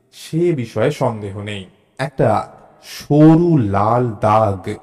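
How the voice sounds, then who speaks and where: A male storyteller with a medium-pitched voice. He narrates a suspense tale in the first person at a slow, measured pace, with theatrical delivery. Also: darkish tone. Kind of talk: dramatised suspense story narration, acting out dialogue.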